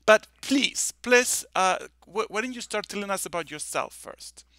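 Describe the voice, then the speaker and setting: A man's voice: high-pitched, expressive, moderate pace, pushed and bright, clear, resonant tone, no echo, clean studio sound. A man hosting a live online summit session, talking enthusiastically and rambling with restarts over a video call. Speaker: summit host.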